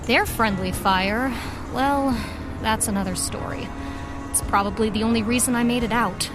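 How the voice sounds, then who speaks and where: Lady acting a brash teen girl hero, high voice, snarky n impatient, radio drama w music behind. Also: normal speed, lightly acted. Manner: punchy, sarcastic, impatient. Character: brash, defiant teenage girl vigilante.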